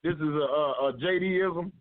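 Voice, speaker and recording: A man hosting a casual radio chat, talking in a loud, preachy, teasing way. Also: a little high, normal speed, no echo. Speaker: show host. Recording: dry, phone-like lo-fi line.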